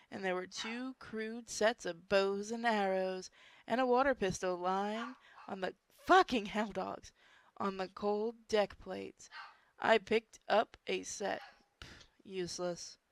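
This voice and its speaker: Slow-paced woman reading a horror story. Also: mid-range voice, no room sound. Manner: informal, stumbles over words and swears in asides. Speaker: story reader. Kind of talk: amateur dramatic reading of a horror story with joking asides.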